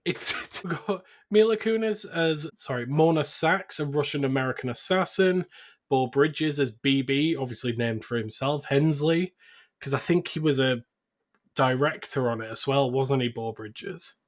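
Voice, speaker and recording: A man's voice: mid-range voice, moderate pace. A man hosting a podcast, talking through film notes in a casual, chatty way. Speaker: podcast host.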